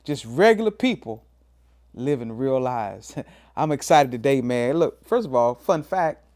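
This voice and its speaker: A man's voice: expressive, measured pace, darkish tone. A man of fifty, a pastor hosting his own motivational talk, emphatic and preacherly. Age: 50s. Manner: preacherly, emphatic, swings pitch up and down for emphasis, says "man" often. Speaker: pastor and show host.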